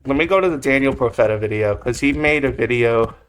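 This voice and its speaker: A man's voice: mid-range voice, quick pace, smooth, poor audio. A man giving casual, rambling reaction commentary as a video host, with slangy phrasing and mild swearing. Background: music or drone from the video being watched.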